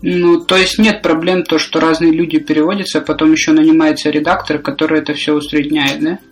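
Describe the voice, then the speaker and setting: Podcast host guy, kinda high voice, asking follow-ups, faint music behind. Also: level tone, brisk. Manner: conversational, probing follow-up questions. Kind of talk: interview questions.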